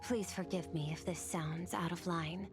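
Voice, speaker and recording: A woman's voice: lowish voice, steady tone, a little slow. A woman voicing a formal, analytical aide in a video game, precise and deferential as she reports. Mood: careful, deferential. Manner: precise, deferential, matter-of-fact. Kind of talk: scripted video game dialogue. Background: prominent music.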